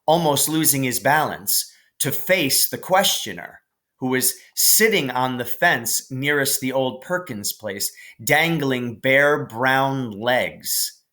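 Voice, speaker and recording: A man reading a children's novel aloud as scripted narration, in a measured, careful way. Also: mid-range voice, animated, sharp tone. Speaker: audiobook narrator.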